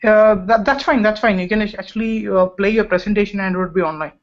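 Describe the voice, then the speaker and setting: A man hosting a webcast, talking quickly and reassuringly over a remote call. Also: high voice. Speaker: webcast host. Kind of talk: casual call chat. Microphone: remote video call. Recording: dull lo-fi call audio.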